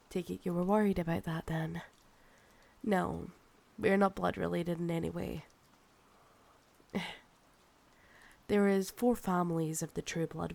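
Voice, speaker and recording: A woman acts out an ancient Scottish vampire woman for ASMR roleplay, proud, possessive and seductive. She speaks in a low, gravelly voice at a very unhurried pace, intimately teasing and looking down on her listener. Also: a little mellow, full character voice. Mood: calm, firm. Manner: slow, teasing, condescending, calls listener darling.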